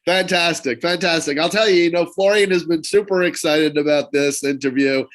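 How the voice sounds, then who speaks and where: A man's voice: fairly high pitch, normal speed. A man co-hosting a podcast who introduces the guest in an upbeat, promotional way. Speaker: podcast co-host.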